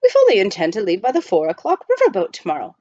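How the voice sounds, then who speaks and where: A woman's voice: mid-range voice, huge pitch swings, fast, darkish tone, no room sound, muffled recording. A woman voicing a proper, unflappable male English butler with formal, precise, reassuring speech in a storybook. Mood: indignant.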